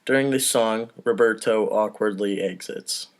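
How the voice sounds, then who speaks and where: A man's voice: on the low side, a little slow, creaky. A man casually reading out script stage directions for a comedy table read, with informal off-the-cuff asides.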